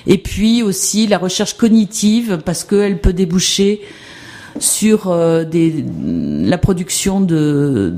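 A woman's voice: lowish voice, warm tone. A woman giving an academic presentation on education research, hesitant and full of fillers and restarts.

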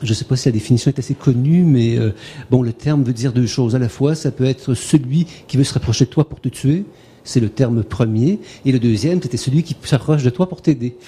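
A man's voice: mid-range voice, brisk, mellow, slightly rough. A man from Quebec with a Québécois French accent, a conference participant who works through a long anecdote and repeats words as he searches for them. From Canada, Quebec. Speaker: conference participant asking a question. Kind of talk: academic discussion with anecdotes.

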